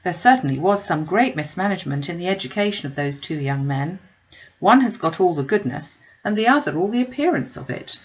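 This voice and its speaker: A woman's voice: fairly low pitch, expressive, fairly quick, dull audio, lightly acted. A woman voicing a witty, self-possessed young lady in a classic novel reading, wry, articulate and emphatic. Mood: teasing.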